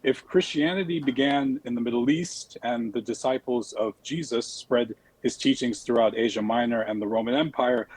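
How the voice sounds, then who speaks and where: A man's voice: medium pitch, moderate pace, few pauses, a little mellow, slightly rough, rough recording. A young man from the United States, a debate guest who speaks politely and a bit hesitantly. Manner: polite, hesitant, uses fillers. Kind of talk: debate argument.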